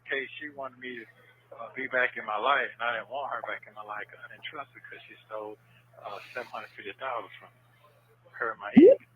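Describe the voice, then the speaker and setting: A man's voice: mid-range voice, fairly quick. A man chatting casually on a recorded jail phone call, slangy and repeating himself. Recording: noisy, tinny recorded jail phone line.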